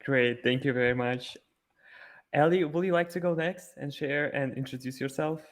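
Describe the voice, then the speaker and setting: A man with a mid-pitched voice running a panel over a video call. He is gracious and admiring as he thanks each speaker and passes the turn to the next. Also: normal speed. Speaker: panel moderator.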